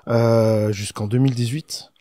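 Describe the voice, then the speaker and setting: A man in his thirties, a podcast guest telling his story in a relaxed way, full of fillers, close on a studio mic. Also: mid-range voice, measured pace, no room sound. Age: 30s. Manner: easygoing, many fillers. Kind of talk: casual interview answers. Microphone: studio podcast microphone.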